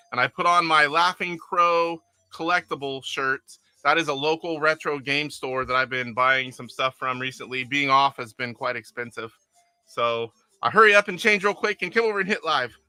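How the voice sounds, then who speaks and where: Chatty, bright-voiced man streaming games. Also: fairly high pitch, clear, resonant tone, dry recording. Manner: chatty, rambling, chuckles, reads viewer comments aloud. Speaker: retro game streamer host. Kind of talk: casual live-stream chat with gameplay. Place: attic converted to a game room.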